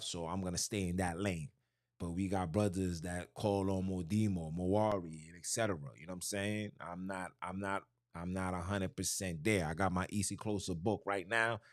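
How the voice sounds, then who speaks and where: A low-voiced man talks in a loose, rambling podcast style, full of slang and "you feel me" asides. He restarts his sentences and circles back to his points. His voice is recorded dry and close, with almost no room sound. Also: no echo. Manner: loose, street-slang talk with frequent "you know what I'm saying" and restarts. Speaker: podcast host. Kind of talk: casual religious talk.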